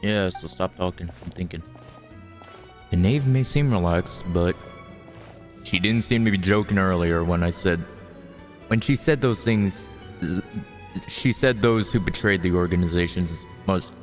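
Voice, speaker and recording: A man streaming a video game, commenting casually and thinking aloud in an informal way. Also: low-pitched.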